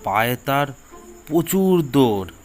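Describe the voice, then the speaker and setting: Measured male storyteller over light music. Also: medium pitch, sing-song. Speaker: storyteller, narrator. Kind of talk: scripted children's fable narration.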